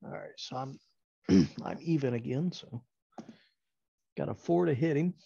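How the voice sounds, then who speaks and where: A man's voice: mid-range voice, lively intonation, measured pace, creaky, dark tone, slightly rough, no room sound. A man playing a tabletop game, chatting casually and calling out his rolls, on a dull lo-fi recording.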